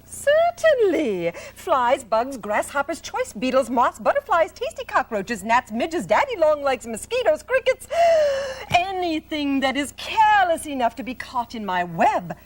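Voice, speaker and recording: A woman's voice: sits high, huge pitch swings, unhurried, few pauses, exaggerated voice. A woman voicing a clever, proud and motherly female spider, talking in a matter-of-fact, slightly grand way. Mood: relishing. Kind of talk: storybook read-aloud.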